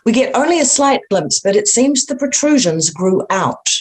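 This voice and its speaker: A woman's voice: fairly high pitch, animated, normal speed. A woman reading stage directions from a horror screenplay as scripted narration.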